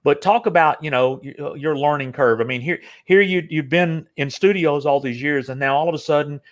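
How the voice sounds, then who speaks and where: A man's voice: fairly high pitch, fairly quick. An older man hosting a casual interview, talking in long rambling stretches full of fillers and restarts. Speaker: interview host.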